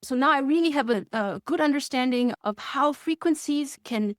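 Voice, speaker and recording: A woman with a fairly high voice speaks as a student giving an interview testimonial. She explains things enthusiastically, chuckling often. The sound is close and dry, with little room on it. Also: normal speed, no room sound. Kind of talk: conversational interview answers.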